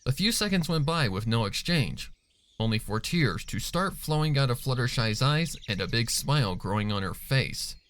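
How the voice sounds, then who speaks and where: A man's voice: mid-range voice, expressive, moderate pace, a bit bright. A man narrating a piece of fan fiction as a dramatic scripted reading. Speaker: story narrator. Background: faint music.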